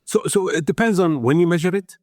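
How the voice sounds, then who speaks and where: A man's voice: high voice, a little fast, darkish tone, dry recording, clean studio sound. Man from Iraq explaining, closely recorded. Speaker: guest expert, conservation organization founder. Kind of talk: explanatory interview conversation.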